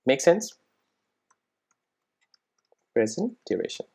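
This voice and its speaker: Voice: medium pitch, big pitch swings, takes it slowly, vocal fry, mellow, slightly rough. Step-by-step coding instructor, informal, checking in often. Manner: informal, frequent check-in tags like "right?" and "make sense?". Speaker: programming tutorial instructor. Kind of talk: screen-recorded coding tutorial, explaining step by step.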